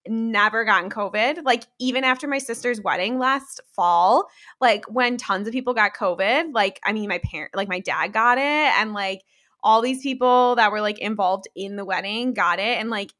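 A slightly hoarse woman co-hosting a casual podcast, rambling along in conversational chat. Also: medium pitch, moderate pace, pushed and bright. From Pennsylvania. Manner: rambling, many "like" fillers. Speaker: podcast co-host.

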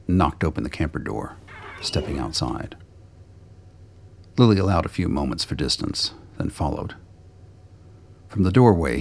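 A man reading scripted dramatic narration for a radio play, with a gravelly, husky voice. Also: very deep voice.

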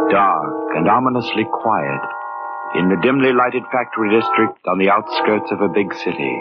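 An actor playing an ominous, solemn storyteller, narrating with deliberate dramatic pauses in 1940s radio style. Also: male voice, sits low. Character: ominous, solemn male storyteller of crime tales. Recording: tinny 1940s radio recording. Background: loud dramatic music.

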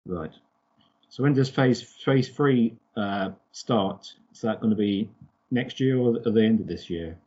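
A man hosting a casual fan podcast in a hesitant, halting way, on a dull lo-fi recording. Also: lowish voice, darkish tone, husky. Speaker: podcast host. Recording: dull lo-fi audio.

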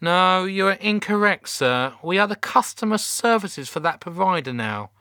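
A man's voice: high voice, very animated, normal speed, sharp tone, clear, resonant tone, no room sound, studio quality, pitched above the performer's own voice, heavily acted. A man voicing an officious teenage girl working in customer service, prim, scripted and unyielding. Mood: firm, officious.

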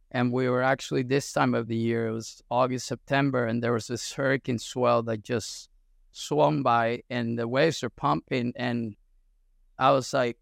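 A middle-aged man from Venezuela, a surf coach talking as a podcast guest in a relaxed storytelling way with many fillers. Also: medium pitch, vocal fry, dry recording, clean studio sound. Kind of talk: casual interview talk.

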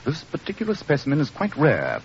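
An actor playing an assured, precise gentleman detective in a radio drama. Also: a little high, wildly swooping pitch. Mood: assured, analytical. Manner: crisp, deliberate, deductive. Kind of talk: old radio drama acting. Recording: muffled old radio recording, faint noise.

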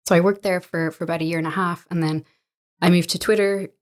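Low-voiced Irish woman telling her story. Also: steady tone, fast talker. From Ireland. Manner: chatty, with many fillers and small restarts. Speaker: guest, co-owner of a coworking space. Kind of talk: relaxed conversational storytelling.

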